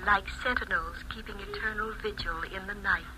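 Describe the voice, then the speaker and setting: A woman's voice: mid-range voice, steady tone, brisk, sharp tone. A woman playing a terse voice from a tiny romance transistor in a 1950s radio drama, coaching through a small-speaker filter. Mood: coaxing, instructing. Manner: terse, instructing. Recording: noisy, tinny vintage radio sound.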